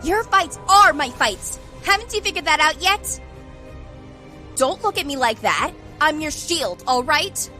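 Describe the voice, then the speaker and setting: A woman plays a feisty young woman warrior in an audio drama. Her voice is high and very lively in pitch, blunt and loyal, with faint music underneath. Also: measured pace, heavily acted. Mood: fierce. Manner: brash, blunt, teasing.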